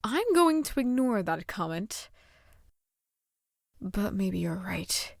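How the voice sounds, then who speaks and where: A woman's voice: medium pitch, very animated, slow pace, gravelly, no echo, clean studio sound, heavily acted. A woman voicing a composed, wistful pony princess in a cartoon-style audio drama. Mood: wistful, defensive. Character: Princess Celestia, regal adult pony mare, composed, wistful. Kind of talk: animated-series fan audio drama.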